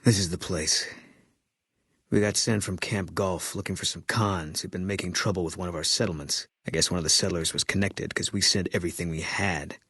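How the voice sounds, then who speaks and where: A haunted ex-soldier sniper from a video game, speaking in a deep, husky voice. He is terse and stoic, with a grim fatalism in his delivery. The sound is dry and close. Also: fairly even intonation, a little fast, a bit bright, no room sound, exaggerated voice. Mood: haunted, resigned. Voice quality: low, husky. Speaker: video game companion character.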